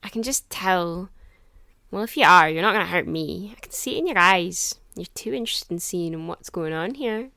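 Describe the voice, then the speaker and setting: A woman's voice: fairly low pitch, normal speed, vocal fry, sharp tone, no room sound, clean studio sound. A woman playing a seventeen-year-old runaway hitchhiker, vulnerable but blunt, in a horror audio drama. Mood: confident.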